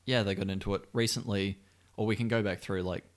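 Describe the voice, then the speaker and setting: Low, creaky-voiced man chatting casually. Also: fairly even intonation, fast talker. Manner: sweary, wry and joking. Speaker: podcast co-host. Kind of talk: casual chat.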